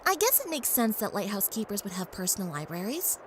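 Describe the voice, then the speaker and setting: A woman's voice: mid-range voice, big pitch swings, a little fast, close to an everyday voice. A woman playing a curious, polite young magical pony in an audio drama. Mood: inquisitive. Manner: inquisitive, courteous. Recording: ambient noise behind voice.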